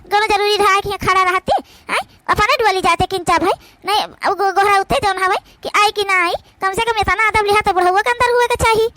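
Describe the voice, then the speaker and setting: A woman's voice: extremely high pitch, steady tone, fairly quick, bright, forceful tone, no echo. A woman voicing brash, indignant village daughters-in-law in an Awadhi cartoon comedy, scolding and arguing in a rustic manner.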